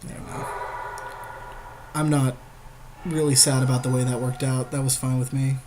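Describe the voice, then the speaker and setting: A man's voice: mid-range voice, level tone, normal speed, background noise. A man co-hosting a podcast, casually weighing options with a partner during a card game. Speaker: podcast co-host. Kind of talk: casual strategy chat while playing a card game.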